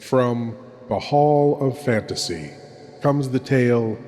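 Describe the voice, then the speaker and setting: A man playing a sinister, courtly horror host, narrating theatrically with dramatic pauses and hushed menace. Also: medium pitch, lightly acted. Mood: ominous, wry. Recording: long hall-like reverb.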